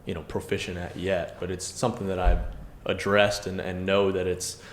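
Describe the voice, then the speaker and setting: Man, loud carrying voice, low-pitched, earnest, echoey room with faint hiss. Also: moderate pace. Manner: earnest, energetic, self-reflective. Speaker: podcast co-host. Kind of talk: casual devotional discussion.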